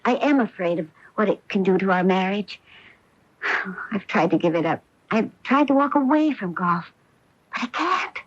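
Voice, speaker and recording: An actress in a radio drama playing an earnest, driven star golfer who pleads emotionally and persuasively as a loving wife. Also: female voice, medium pitch, lively intonation, moderate pace, no room sound, lightly acted. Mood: anguished, apologetic. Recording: muffled old AM radio broadcast.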